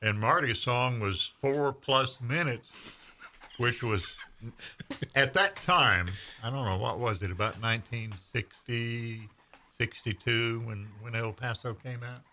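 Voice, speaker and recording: A man, telling stories in casual conversation with measured pauses. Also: medium pitch, bright, forceful tone, a little hiss or hum. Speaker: veteran radio broadcaster. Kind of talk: casual storytelling chat.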